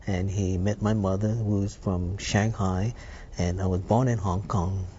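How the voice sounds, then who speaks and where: Older man, mellow low voice. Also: fairly even intonation, muffled recording, a little hiss or hum. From Hawaii. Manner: unhurried, thoughtful storytelling with filler words. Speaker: interview guest. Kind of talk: reminiscing interview.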